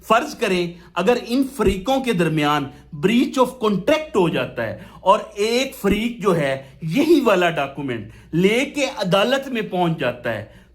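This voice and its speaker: A Pakistani man teaches in an explanatory, didactic style. His voice sits high for a man and swings widely in pitch as he puts questions and answers them himself. He slips English technical terms into his explanations. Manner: switches between Urdu and English legal terms. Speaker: law lecturer. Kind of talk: explanatory law lesson with worked examples.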